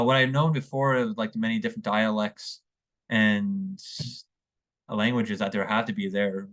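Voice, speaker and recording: Halting young man, low voice. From northeastern United States. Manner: hesitant, many fillers and restarts. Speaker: student. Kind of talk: unscripted essay presentation.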